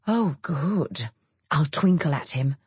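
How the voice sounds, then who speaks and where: A woman's voice: sits low, animated, takes it slowly, a little mellow, husky, dry recording, heavily acted. A woman voicing a witty, mischievous bohemian female friend in an audiobook, with a dry, teasing, theatrical drawl. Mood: teasing, flirtatious. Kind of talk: audiobook character dialogue.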